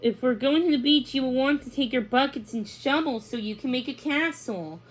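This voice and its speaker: A woman's voice: high-pitched, moderate pace. A woman reading a storybook, voicing a kind, affectionate mother of young children. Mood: patient.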